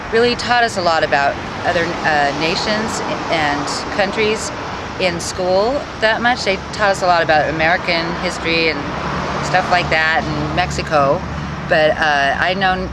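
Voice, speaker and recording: A speaker in a street interview curiously rattling off questions. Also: mid-range voice. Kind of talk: casual street interview answer. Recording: loud street noise behind.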